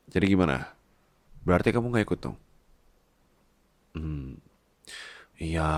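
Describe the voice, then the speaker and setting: A man's voice: sits low, creaky, no echo, heavily acted. A man playing a doting, teasing husband, a babyish big boss, in an intimate ASMR roleplay, coaxing playfully and dropping into whispers. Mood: tender, coaxing.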